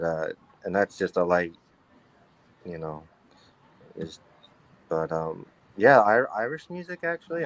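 A baritone man being interviewed as a guest musician over a video call, talking in a halting, rambling way with many restarts. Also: poor audio. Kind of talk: casual interview chat. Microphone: webcam on a video call.

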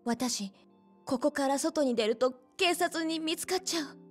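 A woman playing a gentle, earnest young hostess in a Japanese game cutscene, speaking politely and softly. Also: sits high, unhurried, faint music behind. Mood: pleading, serious.

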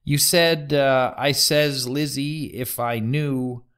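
A man's voice: mid-range voice, steady tone, slow pace, a bit bright, smooth. A man playing a methodical, formal nineteenth-century prosecutor, putting precise, probing questions to a witness in an audio drama. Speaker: actor playing a prosecuting attorney. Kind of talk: audio theatre courtroom reenactment.

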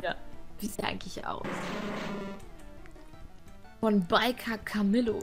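A woman's voice: mid-range voice, a little slow, gravelly. A young woman giving playful, giggly, casual commentary as the host of a let's play, chatting with a co-player. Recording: game music behind the voice. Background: video game music.

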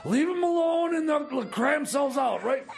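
The frazzled, broke uncle in a radio comedy who stammers through garage schemes in a high, croaking voice, acted by a man. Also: lively intonation, normal speed, slight background noise, exaggerated voice. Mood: flustered. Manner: stammering, repeating words, flustered. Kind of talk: improvised radio comedy skit.

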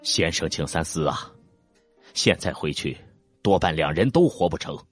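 A man's voice: rumbling low, lively intonation, normal speed, faint music behind. A man voicing a loyal, anxious retainer in a historical audiobook, deferential and earnestly pleading. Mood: worried pleading.

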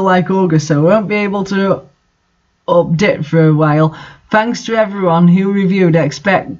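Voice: medium pitch, lo-fi sound. A host reading fan fiction aloud and adding casual, incredulous commentary.

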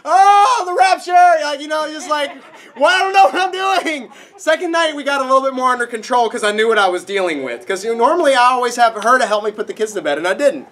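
A young man preaching a sermon in a dark auditorium, a little nasal from a cold, animated and acting out voices in his stories. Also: extremely high pitch, fast, few pauses. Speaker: pastor. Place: dark auditorium with projected screen.

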